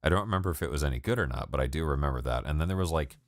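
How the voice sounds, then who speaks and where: A young man with a very low voice rambles through loose, profane chat on a live stream. He laughs often, and his voice sounds dry and close to the mic with no room around it. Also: racing, dry recording. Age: 20s. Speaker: music producer streaming live. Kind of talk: casual livestream chat with viewers.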